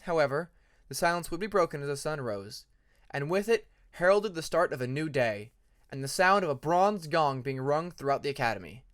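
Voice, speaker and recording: Animated, higher-pitched male storyteller. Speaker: audiobook narrator. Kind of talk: scripted fiction narration.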